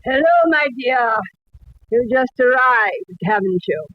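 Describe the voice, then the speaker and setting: A woman's voice: a little high, expressive, slow. A woman playing a gushing, grandiose, tippling older former actress in a 1940s radio drama. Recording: dull vintage radio recording.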